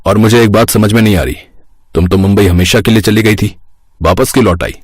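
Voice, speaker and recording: A man voicing a calm, firm young village husband and dutiful son, plain-spoken, in a cartoon moral story. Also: fairly low pitch, a little fast, husky, no echo, studio quality. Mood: firm, cool.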